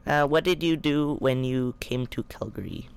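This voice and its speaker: A man's voice: medium pitch, normal speed, creaky, clear, resonant tone. A shy young man hosting his own podcast, reading his interview questions from a script. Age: young adult. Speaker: podcast host.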